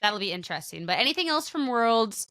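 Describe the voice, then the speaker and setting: A woman's voice: a little high, sing-song, brisk, pushed and bright, no room sound, clean studio sound. A woman co-hosting a podcast in casual chat, talking in loose, trailing sentences that often restart. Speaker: podcast co-host.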